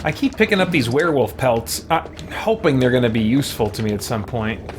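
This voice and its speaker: A man's voice: medium pitch, sing-song, brisk, very noisy. A man hosting a game live stream, thinking aloud in casual, easygoing commentary and chatting with viewers.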